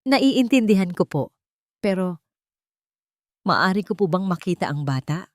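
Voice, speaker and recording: A woman voicing a gentle, hopeful female caregiver who speaks softly and politely in a drama story. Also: on the low side, big pitch swings, a little slow, dry recording. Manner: soft, polite, tender.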